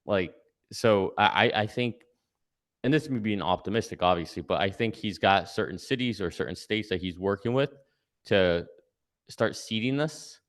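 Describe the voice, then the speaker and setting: A man's voice: fairly low pitch, moderate pace. A man giving casual conversational analysis as a guest commentator, explaining step by step and checking in with "right?". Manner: explanatory, tags points with "right?".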